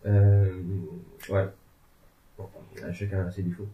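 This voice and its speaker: A man's voice: low-pitched, monotone, slow, a little hiss or hum. A man discussing politics in a casual, rambling way, with many hesitations and joking asides. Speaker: legal and political commentator. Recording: tinny phone-like sound in an echoey room.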